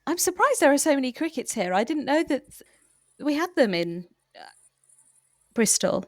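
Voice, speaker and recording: A woman's voice: sits high, sing-song, moderate pace, a little mellow, dry recording, clean studio sound. A woman playing a polite, flustered young woman at a hospital, hesitant and stammering, in improvised comedy audio drama. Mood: hesitant, curious.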